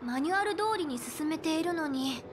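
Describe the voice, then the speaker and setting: A woman's voice: sits high, animated, moderate pace, music in the background. A woman voicing a bookish, earnest young woman in an anime game, speaking politely and precisely. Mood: puzzled. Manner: polite formal speech, precise, studious.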